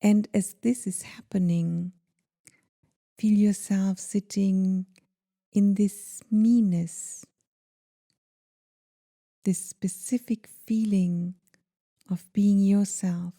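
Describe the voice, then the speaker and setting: A woman guiding a meditation in a gentle, soothing, deliberate manner, leaving pauses between phrases. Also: mid-range voice, steady tone. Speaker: meditation guide. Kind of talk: guided meditation.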